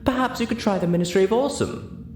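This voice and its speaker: A man's voice: high voice, fast, a little mellow, pitched above the performer's own voice, natural voice. A man voicing an elegant, refined female unicorn, controlled and politely disdainful, in an audiobook story. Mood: politely cutting. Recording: roomy echo, clear background hiss.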